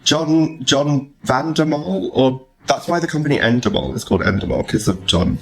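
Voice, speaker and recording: A man's voice: on the high side, lively intonation, moderate pace, a little hiss or hum. British man, thirties, podcast host, casual chat, enthusiastic, self-deprecating. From United Kingdom. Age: 30s.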